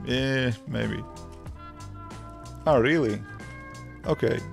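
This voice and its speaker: A man in his thirties with a Latvian accent, a developer chatting casually on a live stream in simple, halting English with many restarts. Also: medium pitch, gravelly, soft background music. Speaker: livestreaming developer answering chat.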